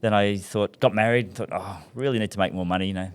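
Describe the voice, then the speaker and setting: A man talks easily about his long working life, often laughing at himself. His voice sits on the low side. He comes across as relaxed and good-humoured as a podcast guest. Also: quick pace, clean tone. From Scotland. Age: 60s. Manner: chatty, self-deprecating, laughs often. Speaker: guest, commercial director. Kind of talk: casual podcast interview.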